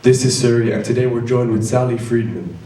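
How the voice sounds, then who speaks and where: A man's voice: medium pitch, fairly quick, dark tone. A young man working as an interviewer, reading out prepared questions. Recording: echoey room, distant mic, faint noise.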